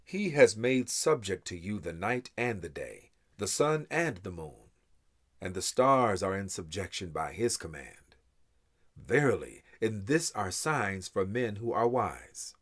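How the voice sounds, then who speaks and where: A man reading translated scripture aloud in a solemn, measured and deliberate way, with dramatic emphasis and pauses. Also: fairly low pitch, big pitch swings. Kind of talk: solemn scripture recitation in English.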